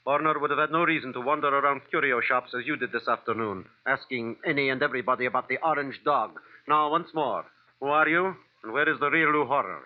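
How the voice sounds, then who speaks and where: An actor playing a criminal curio dealer, a cold and menacing man with formal, precise, deliberate speech, in a vintage radio drama. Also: mid-range voice, natural voice. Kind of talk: 1940s radio drama acting. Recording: dull, muffled vintage radio recording.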